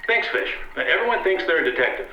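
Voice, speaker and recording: A man's voice: a little high, very animated, fast talker. A man playing a wry, condescending married detective with dry, theatrical delivery in an old-time radio drama. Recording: echoey, dull recording with clear noise.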